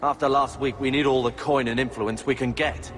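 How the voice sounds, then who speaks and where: A man's voice: mid-range voice, fairly even intonation, a little fast, fairly bright tone, soft background music, close to an everyday voice. An actor with a British accent playing a sullen, resentful young male warrior in a video game, sardonic and insistent. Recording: long reverberant echo.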